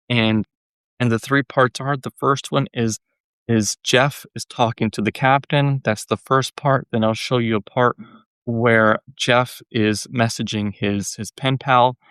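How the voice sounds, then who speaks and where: A man's voice: mid-range voice, a bit bright, no room sound. A man giving a casual, informal book talk as presenter, hesitant and often repeating words and phrases. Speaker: presenter reviewing a novel.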